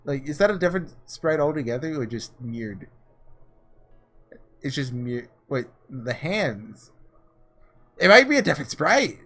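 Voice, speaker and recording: A man's voice: medium pitch, big pitch swings, unhurried, long pauses, fairly bright tone. A man doing casual let's-play commentary, thinking aloud as he reads through a visual novel. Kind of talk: casual gameplay commentary mixed with read-aloud dialogue. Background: background music.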